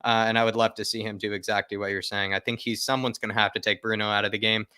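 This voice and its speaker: A man's voice: on the low side, level tone, quick pace, sharp tone, clean tone, dry recording, studio quality. A man hosting a football fan livestream, chatting casually and emphatically to viewers, often repeating his phrases for stress. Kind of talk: casual live fan chat, reading viewer comments aloud.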